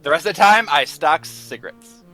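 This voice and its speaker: A man with a bright, fairly high voice in a casual, joking group chat. His pitch swings freely over background music. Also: animated, moderate pace. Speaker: voice actor in a live table read. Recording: music playing behind the chat.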